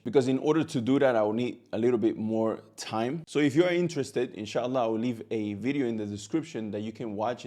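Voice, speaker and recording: Man, native Catalan speaker, Arabic teacher, mellow tone, earnest explainer talk. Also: medium pitch. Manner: earnest and direct, with occasional repeated words. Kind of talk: direct-to-camera explainer talk.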